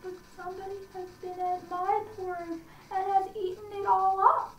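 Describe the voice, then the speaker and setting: A woman voicing an upset little wee bear in a fairy tale, with a small, sharp, shrill voice. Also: level tone, moderate pace, muffled recording, slight background noise. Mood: upset, indignant. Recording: echoey room, distant mic.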